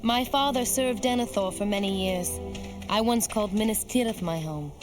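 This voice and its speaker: An actress voices a determined young woman warrior in a fantasy game. She speaks at a medium pitch, earnest and grave, with music behind her. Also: normal speed, close to an everyday voice. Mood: grave, resolute. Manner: earnest, solemn.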